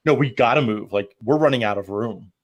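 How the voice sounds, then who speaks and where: A man's voice: mid-range voice, brisk. Middle-aged man, casual and conversational. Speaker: podcast panelist. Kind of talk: casual chat.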